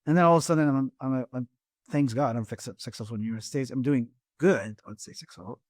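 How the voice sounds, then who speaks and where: A middle-aged man with an Iraqi Arabic accent, a guest on a podcast interview, talking haltingly with many restarts and repeated words. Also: mid-range voice, no echo, clean studio sound. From Iraq. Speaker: guest, engineering firm president.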